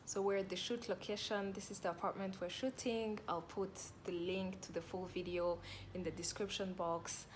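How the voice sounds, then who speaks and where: A young woman chatting to her phone camera in a casual vlog, upbeat and excited about her busy day. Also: mid-range voice, moderate pace, few pauses, slight background noise. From Rwanda. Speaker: real estate vlogger. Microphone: phone camera at arm's length.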